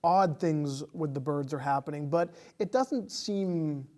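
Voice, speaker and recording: A man's voice: fairly high pitch, measured pace, dark tone. A man speaking as a podcast guest, chatting casually about films.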